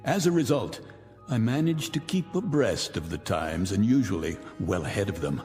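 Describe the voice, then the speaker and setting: An actor playing an arrogant, vengeful millionaire in a radio drama, speaking in a theatrical, pompous, taunting and articulate way. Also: male voice, on the low side, animated, moderate pace, darkish tone. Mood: smug, deliberate. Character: vengeful, arrogant millionaire man holding old enemies captive. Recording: reverberant radio drama with music underneath.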